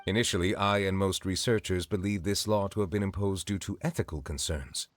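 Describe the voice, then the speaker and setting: An actor playing a dignified, composed official, formal and precise, in scripted video game dialogue. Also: male voice, deep voice, a little fast, lightly acted.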